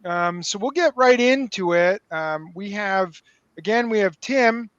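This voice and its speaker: A man's voice: sits high, a little slow, fairly bright tone, no room sound, highs cut off. A man hosting an interview show over a video call, upbeat and joking with frequent ums. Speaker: host.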